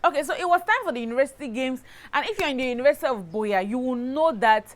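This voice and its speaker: A woman with a Cameroonian accent telling a personal story in a casual vlog style, animated and emphatic, often checking in with the listener. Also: sits high, quick pace. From Cameroon, southwest region. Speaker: lifestyle YouTuber, host.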